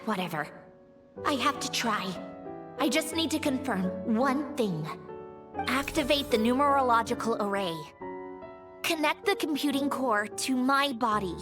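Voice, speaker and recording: Battered female android scientist for a video game: high, expressive, dry and sardonic, music behind. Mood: urgent, emotional. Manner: deliberate, dry, clinical phrasing with halting pauses. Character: weary, sardonic female mechanical puppet scientist, badly damaged. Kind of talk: dramatic video game voice acting.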